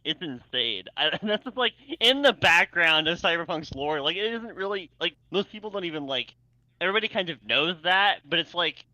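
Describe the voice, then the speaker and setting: A man chatting casually and excitedly, rambling and stammering as he goes and often breaking into laughter. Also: sits high, fairly quick, no room sound, muffled recording. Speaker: co-host of a fan fiction readalong. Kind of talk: casual enthusiastic group chat.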